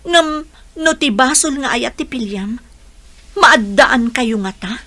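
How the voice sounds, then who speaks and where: Radio drama lead: devoted young wife, Filipino accent, high-pitched and forceful, emotional, hopeful. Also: female voice, lively intonation, slow, exaggerated voice. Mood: pleading. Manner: earnest, emotional. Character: lovesick, devoted young bride, pleading and hopeful. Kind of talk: Ilocano radio drama acting.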